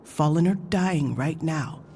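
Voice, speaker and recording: A woman acting a stern, commanding mentor in an audio drama, speaking in a measured, reproachful way. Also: deep voice, warm tone, a little hiss or hum. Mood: cold, disappointed.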